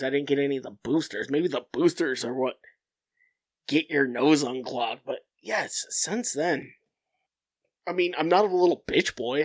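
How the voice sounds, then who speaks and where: A nasal, stuffed-up young man hosts a solo podcast, talking in a slightly high voice at an unhurried, drawn-out pace. He is blunt and profane, circling back and restarting his thoughts. The sound is close and completely dry. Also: dry recording. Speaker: podcast host.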